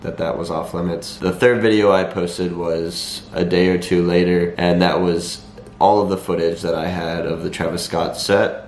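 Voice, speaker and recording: A young man with a slightly raspy voice and a cold, explaining things to viewers in a measured, matter-of-fact vlog style. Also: sits low. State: has a cold. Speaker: vlogger. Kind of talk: talking to camera, explanatory vlog. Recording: echoey room, faint background noise.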